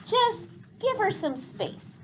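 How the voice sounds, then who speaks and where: Woman as an animated, earnest big-brother boy. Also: very high voice, takes it slowly, slight background noise, pitched above the performer's own voice, full character voice. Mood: reassuring. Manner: casual, firm, plainspoken.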